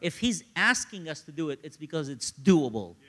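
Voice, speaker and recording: A man, preaching in an animated, conversational storytelling style and repeating phrases for emphasis. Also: a little high, moderate pace. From Egypt, grew up in Cairo. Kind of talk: sermon with personal storytelling.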